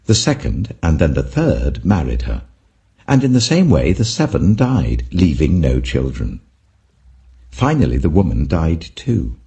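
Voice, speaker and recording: A man's voice: very deep voice, big pitch swings, measured pace, warm tone, heavily acted. A man voicing a sly questioner who feigns respect, speaking formally and pointedly, in a dramatic scripture reading. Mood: pointed, probing.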